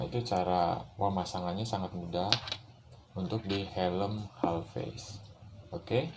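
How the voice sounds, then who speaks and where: A man talks through a casual how-to. He goes very slowly, in informal, halting steps. There is clear background noise in the recording. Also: deep voice. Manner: informal, halting step-by-step explaining with frequent tag words. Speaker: motorcycle vlogger presenting a how-to. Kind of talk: casual hands-on tutorial talk. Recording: noticeable background noise, room ambience.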